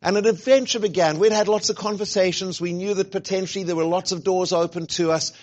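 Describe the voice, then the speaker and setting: A man's voice: high voice, steady tone, fast talker, darkish tone, muffled recording. A middle-aged man giving an informal, joking sermon talk as a guest speaker, with many fillers. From grew up where rugby is played. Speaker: guest speaker, college president.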